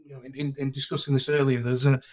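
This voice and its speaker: A middle-aged man hosting a podcast interview, talking casually and haltingly, often repeating words. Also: medium pitch, level tone, a little mellow. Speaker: podcast host.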